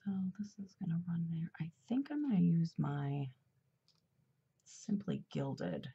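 A woman's voice: sits low, huge pitch swings, unhurried, vocal fry, mellow, husky, muffled recording. A middle-aged woman chatting in a rambling, casual way while decorating a planner at her desk, a little congested. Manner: chatty, rambling, with fillers and asides. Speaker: planner vlogger. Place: at a desk indoors. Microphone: overhead camera.

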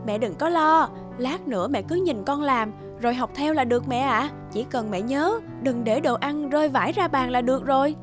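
Young cartoon daughter, played by an actress: high-pitched, anxious and proper, over prominent music. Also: female voice, moderate pace, hardly any pauses, close to an everyday voice. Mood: instructing. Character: anxious young daughter minding her mother's table manners. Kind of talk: animated cartoon dubbing. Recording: music behind the voice.

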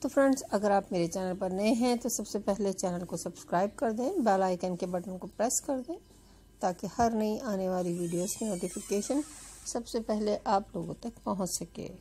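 A woman's voice: mid-range voice, normal speed, dark tone, slight background noise, poor audio. A woman host giving a chatty, repetitive voice-over, addressing her viewers as friends. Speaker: video channel host.

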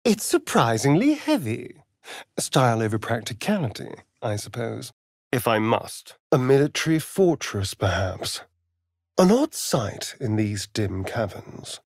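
An actor with a British accent playing a sardonic, preening vampire rogue in a fantasy video game, with an arch, drawling, theatrical delivery. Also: male voice, mid-range voice, big pitch swings, measured pace, creaky, no echo, studio quality, full character voice. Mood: musing.

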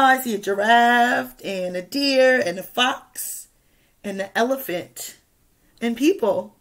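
A young woman teacher reading a picture book aloud to children, expressively, pausing to ask questions and add asides. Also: fairly high pitch, a bit bright. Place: small indoor room with classroom wall charts.

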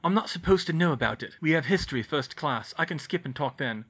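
A man reads as a teenage boy wizard from a storybook, slightly high and bright. He is guarded and worried, keeping things plain-spoken. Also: animated, fast, slightly rough, exaggerated voice.

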